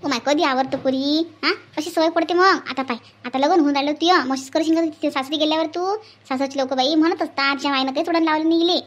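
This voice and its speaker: A woman's voice: high voice, steady tone, fast talker, lightly acted. A woman voicing a doting, grumbling middle-aged village mother in a cartoon family skit, with a Varhadi Marathi accent. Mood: scolding. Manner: homely, scolding and fussing with rhetorical questions.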